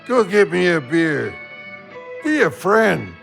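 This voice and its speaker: A man playing a heartbroken, slow-witted-sounding but thoughtful drunk in an audio drama, rambling in a slurred voice. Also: high-pitched, big pitch swings, creaky, a bit bright, soft background music, full character voice. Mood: pleading.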